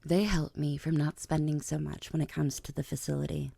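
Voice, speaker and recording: Vampire queen , played by a woman: haughty and sardonic, lowish creaky voice, condescending audio-drama delivery. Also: little pitch movement, brisk, dark tone, no room sound, exaggerated voice. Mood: matter-of-fact, sly. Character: haughty, powerful vampire queen, teasing and world-weary. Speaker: voice actor in an audio roleplay. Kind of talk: ASMR audio drama acting.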